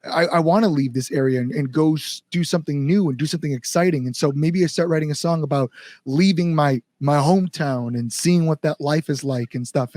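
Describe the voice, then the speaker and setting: Animated-talking man telling stories casually. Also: on the high side, dry recording. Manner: rambling storyteller, frequent likes, acts out inner thoughts. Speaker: guest musician, band front-man. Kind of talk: casual podcast chat.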